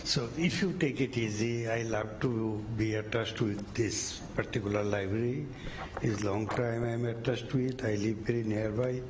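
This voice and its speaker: Slow polite man with a Bangladeshi accent. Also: on the low side, steady tone, noisy background. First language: Bengali. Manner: polite, pleading, non-native phrasing. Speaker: audience member asking a question.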